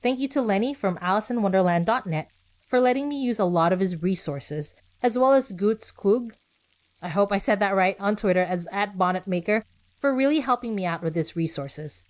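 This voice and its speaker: A woman's voice: medium pitch, lively intonation, normal speed. A woman podcast host reading scripted narration with personal asides, speaking conversationally and directly to her listeners.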